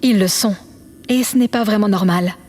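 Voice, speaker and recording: A woman's voice: medium pitch, normal speed, a little mellow, natural voice. A woman playing an earnest, polite chief engineer aboard a spaceship in an audio drama, careful and matter-of-fact. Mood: earnest, concerned. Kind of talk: scripted audio drama acting. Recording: clear background noise.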